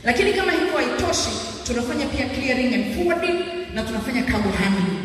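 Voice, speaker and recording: Animated Tanzanian woman in an echoey hall. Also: on the high side, fast, slight background noise. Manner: animated, gestures while walking the stage. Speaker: public lecture speaker. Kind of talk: public lecture mixing Swahili and English. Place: large hall with stage. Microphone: handheld mic. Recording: echoey hall recorded from far off.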